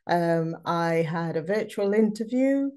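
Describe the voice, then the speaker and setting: A woman in her fifties with a lowish voice gives an earnest talk over slides. She is from Zambia and speaks with frequent fillers. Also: animated, takes it slowly, a little mellow, clear, resonant tone. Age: 50s. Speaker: conference speaker.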